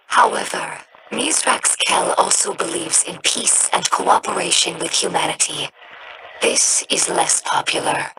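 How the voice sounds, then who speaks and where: A woman voicing a young female alien scribe in a game, earnest and devout, speaking in a measured, reverent way. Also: lowish voice. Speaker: game character. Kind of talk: game voice lines. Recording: noisy game audio rip.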